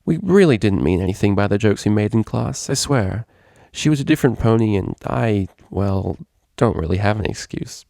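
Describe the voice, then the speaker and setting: A man's voice: lowish voice, animated, normal speed, vocal fry, dark tone, no echo, clean studio sound. A man voicing a friendly, earnest young female pony student in a story, eager and confiding. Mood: apologetic.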